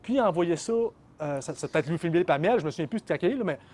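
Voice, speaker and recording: A man's voice: fairly high pitch, animated, fast, darkish tone. A man with a Québécois French accent hosting a casual interview, chatty and often finishing his guest's sentences. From Quebec. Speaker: podcast host.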